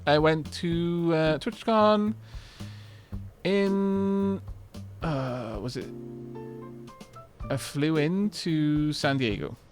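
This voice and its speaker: A man streaming a game, bantering with chat in an animated, rambling, self-deprecating way, with faint music behind. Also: on the high side, extremely slow, long pauses. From Wales.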